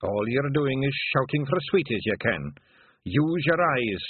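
A tiny, proud pixie elder scolds in broad Scottish dialect. The voice is raised, husky and full of swoops. It is a storybook figure on a phone-like recording. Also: male voice, medium pitch, very animated, unhurried, pitched above the performer's own voice. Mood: gruffly encouraging. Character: proud, testy, tiny ninety-six-year-old male pixie piper.

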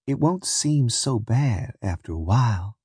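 A young enslaved woman, voiced by a male audiobook reader, speaks in a soft, husky voice. She is scornful and worn down, sneering with bitter resignation. The sound is close and dry. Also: lowish voice, animated, slow pace, no room sound, exaggerated voice. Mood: weary, bitter. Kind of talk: audiobook character voice.

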